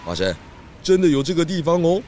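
A man playing a calm, wise pig detective who explains things patiently in a children's audio drama. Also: on the high side, fairly quick, noisy background. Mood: patient, reasoning.

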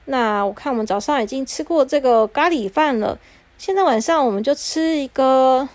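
A woman's voice: sits high, moderate pace, darkish tone, dry recording, natural voice. Cinderella, voiced by a woman, sweet and cheerful. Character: cheerful, helpful young Cinderella.

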